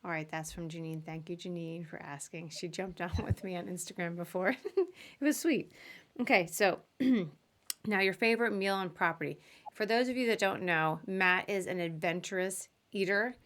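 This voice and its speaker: Podcast host, female, slightly low voice, chatty and informal, conversational interviewing. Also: moderate pace, fairly bright tone.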